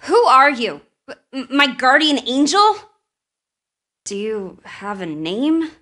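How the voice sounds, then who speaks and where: An actress voicing a guarded, sarcastic, distressed young American woman with powers in a video game, speaking in a breathy, emotionally expressive way. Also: high-pitched, slow pace, exaggerated voice. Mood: alarmed, defiant. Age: young adult.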